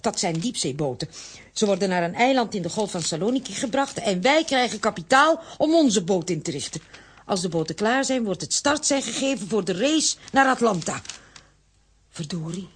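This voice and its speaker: A woman playing a brisk, bossy, excitable aunt in a children's audio drama, speaking in an exclamatory manner. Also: medium pitch, natural voice. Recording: noisy, clicky old audio recording.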